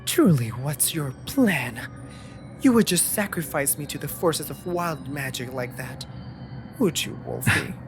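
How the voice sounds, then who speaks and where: A wry raven familiar played by a man in a fantasy audio drama. The delivery swings freely in pitch, playful and easily offended in a theatrical way. Music plays clearly behind the voice. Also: expressive, takes it slowly, pauses often. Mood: indignant. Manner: playful, sardonic, theatrically indignant. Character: teasing, dramatic male raven familiar of a mage. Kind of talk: scripted audio roleplay acting.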